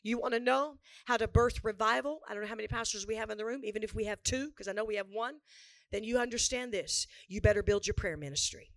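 A hoarse woman pastor preaching a revival sermon in a church, repeating lines for emphasis and building to shouts. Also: a little high, quick pace, dry recording. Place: church sanctuary before a live congregation.

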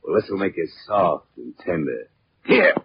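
A muffled, faintly noisy vintage radio drama. a pompous bandleader with a fairly low voice, haughty and mocking, itching for a fight. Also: male voice, animated, normal speed. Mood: sneering, menacing. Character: pompous, sneering bandleader trumpeter, spoiling for a fight. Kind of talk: 1940s radio acting. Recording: muffled, clicky old radio recording.

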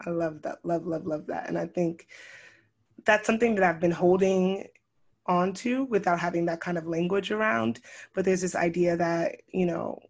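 A host chatting casually, warmly affirming the guest and asking questions. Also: mid-range voice, gravelly. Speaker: podcast host. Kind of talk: casual interview chat.

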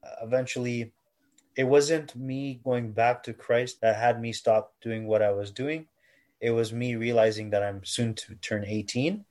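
Earnest man in his twenties from Canada, interview subject, measured delivery with mid-range pitch. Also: flat delivery, a little mellow. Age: 20s. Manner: earnest, measured, self-correcting. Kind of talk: reflective personal testimony.

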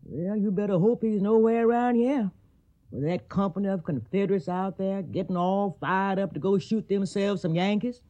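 Actress as mellow, motherly older Southern housekeeper. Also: medium pitch, moderate pace, highs cut off, natural voice. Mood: gently scolding. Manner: folksy, plainspoken. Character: motherly older Southern household mammy, plainspoken, caring, scolding. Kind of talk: radio drama acting.

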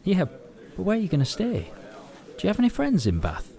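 A concerned, informal questioner in an audio drama. His mellow voice swings widely in pitch as he reassures and asks questions. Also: huge pitch swings, brisk, gravelly, full character voice.

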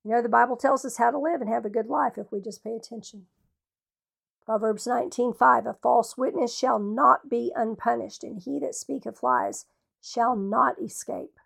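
A woman with a Southern US accent and a rough, hoarse voice, giving folksy, informal Bible teaching as a radio host. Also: a little high, normal speed.

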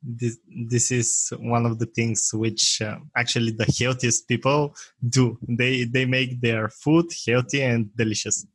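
A man from Bulgaria with a Bulgarian accent, talking as an interview guest in his second language, pausing often to search for words. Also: medium pitch, little pitch movement, husky, no room sound, poor audio. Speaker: guest, software maker. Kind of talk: casual interview talk.

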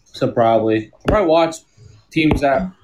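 A man's voice: mid-range voice, moderate pace, noisy background. A man co-hosting a casual group podcast, rambling loosely about sports and his fantasy team. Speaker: podcast co-host.

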